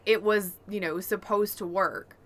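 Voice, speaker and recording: A woman's voice: medium pitch, steady tone, measured pace. A woman talking casually and conversationally as a podcast co-host. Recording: clear background noise.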